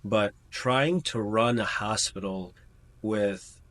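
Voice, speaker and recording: Man, american, low voice, casual interview talk, fillers and restarts. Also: slow, fairly bright tone. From United States. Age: middle-aged. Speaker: orthopedic trauma surgeon, guest. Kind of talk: interview answers, conversational.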